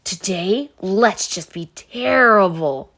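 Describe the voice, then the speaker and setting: A woman voicing excitable young schoolchildren, eager and exclaiming, in a storybook. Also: mid-range voice, slow, exaggerated voice. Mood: gleeful, rebellious. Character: excitable group of young schoolchildren, boys and girls.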